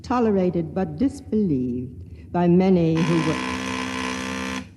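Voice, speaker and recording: An actor voicing a grave male chronicler who reads his forbidden account in a solemn, measured way in a 1950s radio drama. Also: a little high, sing-song, noisy background. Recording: reverberant vintage radio recording.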